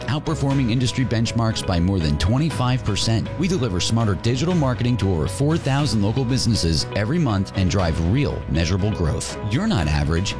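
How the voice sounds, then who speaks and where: A man voicing a radio commercial with a confident sales pitch. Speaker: ad announcer.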